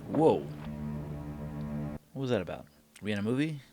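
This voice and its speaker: A man's voice: lowish voice, sing-song, normal speed, over loud music. A man in his thirties hosting a reaction video, commenting casually as he watches a show. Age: 30s.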